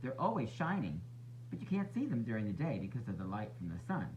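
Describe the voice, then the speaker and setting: Man putting on a lively, patient lady moth. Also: mid-range voice, fast talker, muffled recording, a little hiss or hum, lightly acted. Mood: patient explaining. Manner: patient, explanatory. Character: Moth, gentle knowledgeable female moth explaining things. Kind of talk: read-aloud children's storybook.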